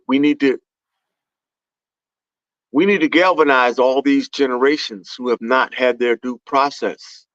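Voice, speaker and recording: A man's voice: mid-range voice, fairly bright tone, dry recording. A man speaks with fervent conviction, rising into a preacher-like cadence as he talks. His voice sits mid-range and comes through a dull, lo-fi channel with a dry, close sound. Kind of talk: impassioned conversational talk.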